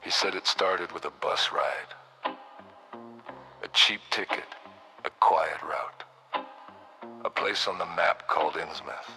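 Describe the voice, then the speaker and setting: A man playing a grave radio narrator, giving a scripted account of a stranger's testimony in a sombre, measured way. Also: deep voice, pushed and bright, soft background music, exaggerated voice, poor audio.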